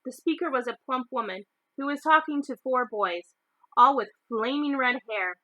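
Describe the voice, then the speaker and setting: A woman's voice: high voice, moderate pace, sharp tone, no echo, highs cut off. A woman reading a children's novel aloud as a storyteller. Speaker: storybook reader.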